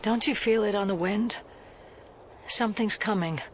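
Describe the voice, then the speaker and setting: An actress playing a serious, skeptical federal agent in an audio drama, earnest and clipped. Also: female voice, medium pitch, normal speed, crowd noise, natural voice. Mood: concerned. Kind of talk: naturalistic audio drama acting.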